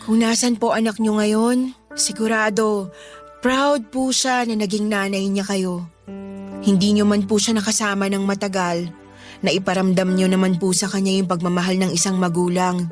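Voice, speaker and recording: A woman's voice: mid-range voice, fairly even intonation, normal speed, faint music behind, natural voice. A woman playing a sensitive, grateful young woman in a Filipino radio drama, respectful and emotional. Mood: grateful, comforting. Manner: soft-hearted, respectful, emotional.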